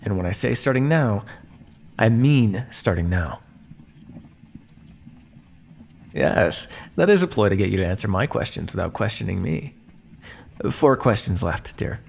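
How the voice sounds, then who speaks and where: A man's voice: fairly low pitch, lively intonation, moderate pace, creaky, a little mellow, slight background noise. A man playing a smug, teasing vampire scientist captor in an ASMR roleplay, speaking with a theatrical, drawling, mock-polite and sardonic manner. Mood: condescending, firm. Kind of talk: ASMR roleplay monologue addressed to listener.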